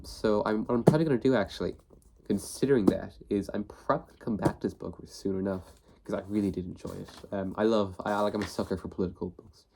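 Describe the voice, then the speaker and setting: A man's voice: on the low side, darkish tone, slight background noise. A young man with a cold, sniffly, hosting a casual book video, rambling with many fillers and restarts. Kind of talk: casual talk to camera.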